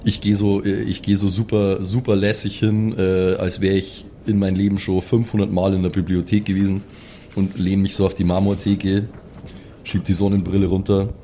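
A man's voice: sits low, level tone, normal speed, warm tone, a little hiss or hum. A man talking casually as a player in an improvised role-play game, describing what his character does. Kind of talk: casual table chat.

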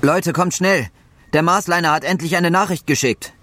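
An actor playing a worried astronaut on a Mars crew in an audio drama. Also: male voice, on the high side, normal speed, a bit bright, slight background noise, lightly acted. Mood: alarmed.

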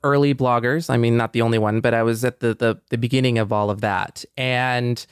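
Male co-host, clear medium-pitched voice, reflective casual talk, dry recording. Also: steady tone, brisk, no echo. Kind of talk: casual conversation.

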